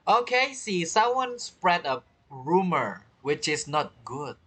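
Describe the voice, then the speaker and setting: A video game streamer giving casual commentary in halting, non-native English phrasing. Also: mid-range voice, very animated, sharp tone. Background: game music.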